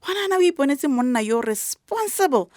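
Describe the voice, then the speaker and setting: A woman's voice: high voice, sing-song, a little fast, a little mellow, dry recording, clean studio sound. A woman with a Botswana accent acting a meddling, protective grandmother who scolds her grown daughter in a radio drama. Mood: scolding, hurt. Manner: scolding, disapproving.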